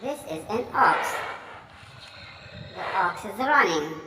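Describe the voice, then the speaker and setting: A woman's voice: medium pitch, expressive, takes it slowly. A woman narrating an educational video for young children, speaking in short, simple, repeated phrases and putting questions to the listener. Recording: echoey hall, far from mic, loud noise.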